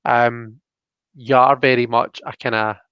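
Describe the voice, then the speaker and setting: A man's voice: mid-range voice, flat delivery, a little slow, clear, resonant tone, no echo, highs cut off. A Scottish man giving a casual tarot reading, thinking aloud and often checking that the listener follows. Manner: thoughtful, often checks with "you know" and "do you know what I mean". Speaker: host and tarot card reader.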